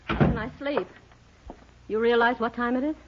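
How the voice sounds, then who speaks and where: An actress plays a tormented, jealous wife in an old radio melodrama. Her voice sits high, and she turns between accusation and pleading. The recording is muffled and aged. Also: steady tone, normal speed, noisy background, lightly acted. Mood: accusing, wounded. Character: jealous, tormented wife of a dance-band pianist. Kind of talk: 1950s radio drama acting.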